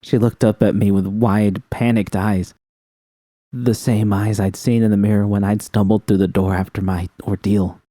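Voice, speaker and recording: A man's voice: fairly low pitch, little pitch movement, moderate pace, dark tone, dry recording. A man reading a dramatic first-person story as scripted audiobook narration. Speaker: audiobook narrator of fan fiction.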